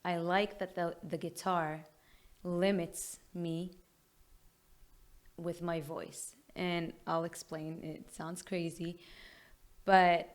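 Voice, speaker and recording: An Israeli-accented woman talks at a slow, measured pace in a somewhat low voice. She answers interview questions thoughtfully, often pausing on "um" while she gathers her words. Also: little pitch movement. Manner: thoughtful, frequent "um" pauses. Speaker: singer-songwriter guest.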